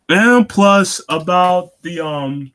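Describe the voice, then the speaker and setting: A young man hosting a casual talk show on his own, getting over a cold and coughing now and then, halting with lots of ums and restarts. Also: sits high, fairly bright tone. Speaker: wrestling show host.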